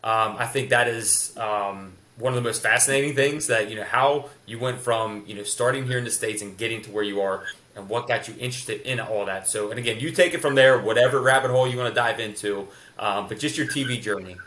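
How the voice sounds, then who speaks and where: A man's voice: mid-range voice, fairly quick, hardly any pauses, pushed and bright, a little hiss or hum. Kentucky guy hosting a podcast, rambly questions, echoey room. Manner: rambling, filler-heavy questions. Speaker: podcast host. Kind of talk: casual interview.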